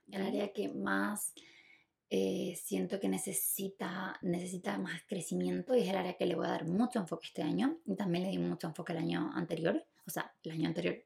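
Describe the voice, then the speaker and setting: A woman's voice: on the low side, vocal fry, dark tone. A woman with a Chilean accent hosting a podcast alone, talking casually and reflectively, confiding and giving advice straight to the listener. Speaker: podcast host.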